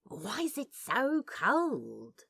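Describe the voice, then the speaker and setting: A woman's voice: fairly high pitch, huge pitch swings, very slow, gravelly, bright, forceful tone, husky, no echo, full character voice. A woman voicing a skeptical, awkward cool man in a fan fiction audio drama, wry, casual and a little hesitant. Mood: reluctant.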